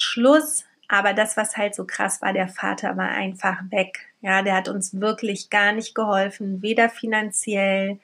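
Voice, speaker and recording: A woman's voice: mid-range voice, a bit bright. A middle-aged woman from Berlin talking candidly and colloquially, as in a casual personal vlog. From Berlin, Germany. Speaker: vlogger and storyteller.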